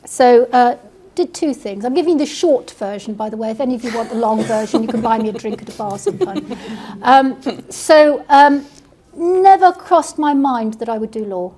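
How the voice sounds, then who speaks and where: Middle-aged woman, Kenyan accent, husky, higher voice; dry self-deprecating panel storyteller. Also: moderate pace, warm tone. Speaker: barrister. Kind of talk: panel conversation. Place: seminar room with audience.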